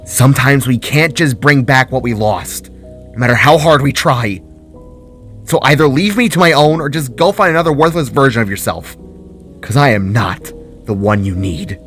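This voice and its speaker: A man's voice: medium pitch, expressive, moderate pace, fairly bright tone, soft background music, lightly acted. A man voicing a hostile, hurting female spark with ice powers in a story, stern, barking and sarcastic.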